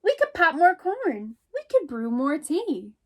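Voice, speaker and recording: A kind little storybook mouse, as a woman reading aloud puts her on. She speaks high and gently, and she soothes her friend. The talk is slow and encouraging. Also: very animated, exaggerated voice. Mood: comforting.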